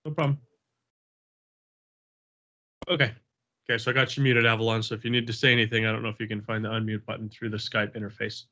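Man with a cold, low flat voice, earnest prayer cadence, dull lo-fi call audio. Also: rapid-fire. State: has a cold, sniffling. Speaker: Bible study leader. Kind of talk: prayer and casual group talk. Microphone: video call.

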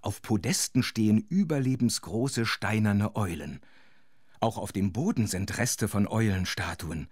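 A man narrating a children's audio play as a chatty, wondering storyteller with a husky voice. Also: lowish voice, unhurried, darkish tone, no room sound, studio quality. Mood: wondering, playful. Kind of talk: scripted, playful storytelling narration.

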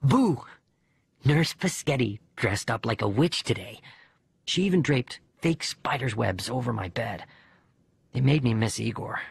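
A man voicing a bereaved young boy who narrates his diary letters in an expressive, conversational audiobook reading. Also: medium pitch, measured pace, vocal fry, breathy edge, no room sound, exaggerated voice. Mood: chatty.

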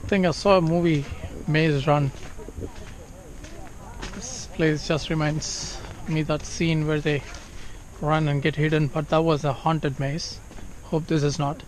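A man's voice: a little high, steady tone, unhurried, a little hiss or hum, poor audio. A man in his thirties with a Tamil accent, casually narrating a travel vlog outdoors with lots of fillers, filmed on a handheld camera at arm's length. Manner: chatty, filler-heavy, switches between languages. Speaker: vlogger. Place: outdoors at a farm and corn maze. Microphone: handheld action camera at arm's length.

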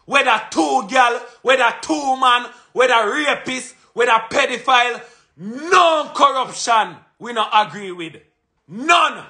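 A man's voice: very high-pitched, lively intonation, slow, sharp tone. A man in his thirties from Jamaica with a Jamaican accent, delivering an emphatic, confrontational monologue to his phone camera at home. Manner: emphatic and confrontational, punches out lists and repeats phrases. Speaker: vlogger. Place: small indoor room at home. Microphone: phone camera at arm's length.

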